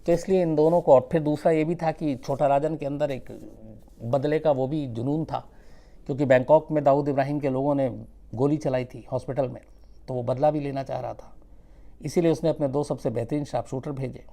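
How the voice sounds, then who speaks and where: A man telling a true-crime story without a script, conversational and suspenseful, with dramatic pauses. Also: mid-range voice, fairly even intonation, vocal fry, dark tone.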